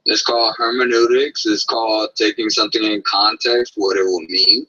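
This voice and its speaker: A man's voice: lowish voice, flat delivery, moderate pace, bright, forceful tone, breathy edge, distant mic. A man in an online debate, giving curt, defensive replies. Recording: dull lo-fi stream audio.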